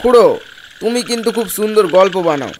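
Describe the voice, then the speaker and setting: A man voices a sceptical villager in a cartoon tale. His high voice teases lightly, with clear noise of croaks and clicks behind it. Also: expressive, fairly quick. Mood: teasing. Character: teasing village listener, sceptical of tall tales. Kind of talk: animated story acting.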